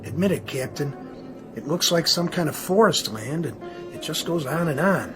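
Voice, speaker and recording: Man as a disbelieving, higher-voiced airline crewman. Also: expressive, normal speed, music in the background, natural voice. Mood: skeptical. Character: skeptical, bewildered male airline crewman. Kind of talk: radio drama acting.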